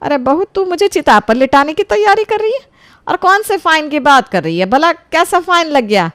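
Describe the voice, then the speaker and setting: Muddled older mother-in-law, fairly high voice, woman acting. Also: very animated, normal speed, fairly bright tone. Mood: puzzled. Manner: exclamatory, easily puzzled. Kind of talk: Hindi comic cartoon acting.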